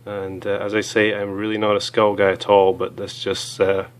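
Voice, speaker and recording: A hoarse man with a cold, chatting casually as he reviews small gear items, with many fillers. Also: lowish voice, completely flat delivery, moderate pace.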